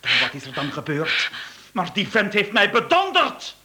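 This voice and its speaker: An actor playing a nervous, easily rattled middle-aged husband in a radio play, with a Flemish accent and halting, repetitive speech. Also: male voice, high-pitched, huge pitch swings, pushed and bright, exaggerated voice. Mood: indignant. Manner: halting, repeats words.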